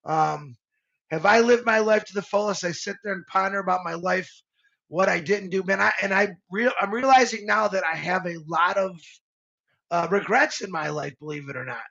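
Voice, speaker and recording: Fifties guy rambling on a live stream, high gravelly voice, kinda lo-fi. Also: normal speed, a bit bright. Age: 50s. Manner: heartfelt, rambling, with many restarts and fillers. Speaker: co-host of a live show. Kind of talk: casual live-stream talk.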